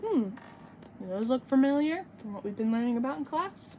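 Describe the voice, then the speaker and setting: High-voiced young woman reading to kids, noisy recording. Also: animated, fairly quick, darkish tone. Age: 20s. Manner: emphatic, teacherly, addressing small children. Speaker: student teacher reading a picture book aloud. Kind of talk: expressive children's read-aloud with asides to young listeners.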